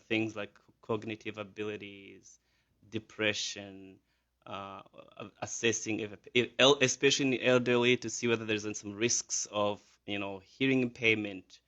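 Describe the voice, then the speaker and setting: A man's voice: fairly low pitch, flat delivery, normal speed, fairly bright tone, highs cut off. A man in his thirties from Rwanda with a Rwandan accent, a physician answering radio interview questions with many fillers and restarts. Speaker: physician guest.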